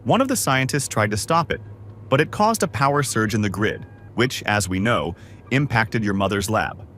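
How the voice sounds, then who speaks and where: A man's voice: lowish voice, expressive, normal speed, a bit bright. A man playing a courteous, methodical crew brother in a science fiction fan audio drama. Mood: explanatory. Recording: faint background noise.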